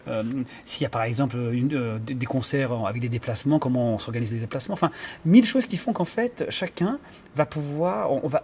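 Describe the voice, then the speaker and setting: A man's voice: mid-range voice, darkish tone, a little hiss or hum. A man who conducts and plays cello, answering interview questions in a thoughtful way, with many fillers and restarts as he searches for words. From Iran. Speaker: conductor and cellist.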